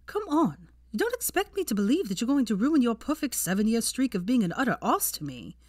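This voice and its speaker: A woman's voice: fairly high pitch, very animated, brisk, darkish tone, no echo, clean studio sound, voice pushed up. A woman voicing an indignant, combative young witch who snaps and sneers, in an audiobook style story reading. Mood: scornful. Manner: snapping, sneering, terse.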